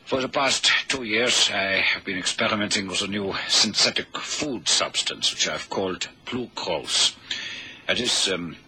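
An anxious male academic in a radio thriller. The voice is low and very sharp, with halting, stiffly formal phrasing and a superior air. There is faint noise on the old recording. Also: expressive, full character voice, low-quality recording. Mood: condescending, explaining. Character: nervous, condescending male scientist professor. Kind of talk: 1970s radio drama acting.

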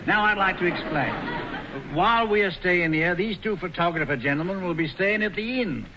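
An actor playing a plain-spoken, deferential business manager in 1940s radio comedy. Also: male voice, high voice, moderate pace, natural voice. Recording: muffled, noisy old radio broadcast.

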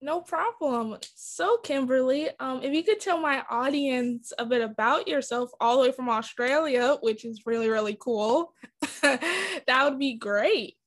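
High-voiced woman podcast host. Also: lively intonation. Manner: polite and friendly, measured questions with fillers. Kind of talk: podcast hosting.

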